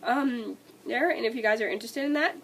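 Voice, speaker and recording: A woman's voice: fairly high pitch, fairly quick. A woman vlogger with a cold, stuffy nose and scratchy throat, chatting casually and rambling through a movie list. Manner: rambling, many likes and restarts.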